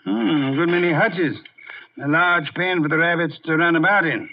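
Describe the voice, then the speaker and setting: An actor playing a pompous, brilliant, irritable private detective in a 1950s radio drama, speaking in a deliberate, theatrical way. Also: male voice, a little high, creaky, noisy background. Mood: musing. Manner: theatrical, deliberate, haughty, grunts and chuckles. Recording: dull old-time radio recording.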